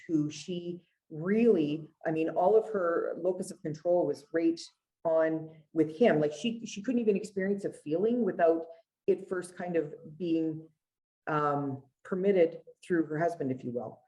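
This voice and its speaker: A Canadian woman with a low, mellow voice speaks as an interview guest. She tells her professional stories conversationally, in an earnest and emphatic manner. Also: measured pace, rough recording. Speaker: guest, family lawyer.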